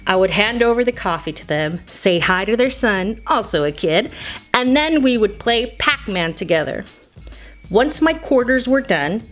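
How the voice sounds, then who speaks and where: Woman telling nostalgic stories over music. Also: medium pitch. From United States. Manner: playful, nostalgic, slipping into Spanish phrases. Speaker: storyteller. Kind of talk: personal scripted storytelling narration.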